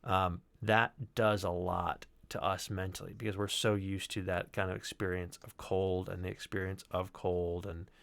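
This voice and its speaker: A man's voice: low voice, flat delivery, moderate pace, gravelly, hardly any pauses, fairly bright tone. A man presenting as a sound designer, talking through his work in a reflective, conversational way. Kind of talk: informal explanatory walkthrough on a livestream.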